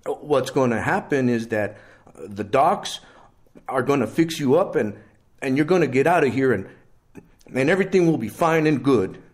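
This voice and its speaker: A man voicing a loyal teenage friend who speaks nervously in halting chunks. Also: medium pitch, lively intonation, darkish tone, close to an everyday voice.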